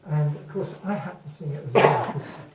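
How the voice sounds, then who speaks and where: An older man from England with a soft voice, chatting to a live audience between songs in an easygoing, rambling way, with plenty of ums. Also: fairly high pitch, moderate pace, loud music behind the voice. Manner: rambling, reminiscing, frequent ums. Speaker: singer-songwriter. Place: live venue. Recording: echoey live room from far off.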